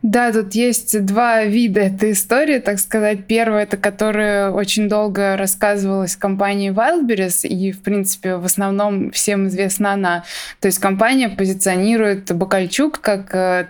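A woman, a journalist guest, explaining things in interview talk with tentative, hedged phrasing. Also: medium pitch.

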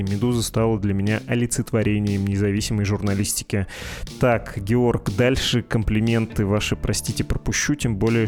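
A man hosting a podcast, wrapping up the episode and reading out listener mail in a wry, self-deprecating way. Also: lowish voice. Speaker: podcast host. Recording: music bed under voice.

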